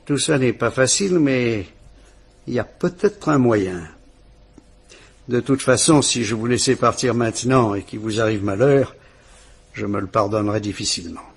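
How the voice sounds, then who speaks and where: An actor playing a calm, kindly police commissioner in a vintage radio drama, speaking in a measured, courteous and reassuring way. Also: male voice, mid-range voice, gravelly, husky, exaggerated voice.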